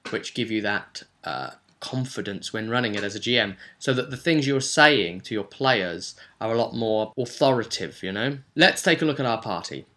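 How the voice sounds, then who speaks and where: A man's voice: on the low side, expressive, moderate pace, hardly any pauses, pushed and bright. A man speaking as a game master and video host, giving a chatty, explanatory recap of a tabletop role-play session with rambling asides.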